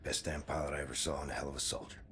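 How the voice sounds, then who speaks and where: An actor playing a battle-worn American army captain in a video game, terse, gruff and understated. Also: male voice, a bass voice, steady tone, very fast, fairly bright tone, a little hiss or hum. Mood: somber. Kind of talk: dramatic video game acting.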